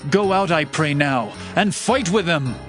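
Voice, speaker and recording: An actor playing a sly, scornful city ruler with a drawn-out, mocking delivery in a dramatized audio Bible. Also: male voice, a little high, lively intonation, fairly bright tone, soft background music. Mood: taunting.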